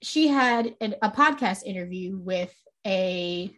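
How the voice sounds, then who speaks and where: A young woman co-hosting a music podcast, her talk loose and casual, sprinkled with swearing and laughter. She calls her own voice pitchy and a little grating. The sound is close and intimate, right up on a desk microphone. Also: lively intonation, slow, fairly bright tone. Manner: chatty, loose and profane, laughs often. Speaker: podcast co-host. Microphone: close desk mic.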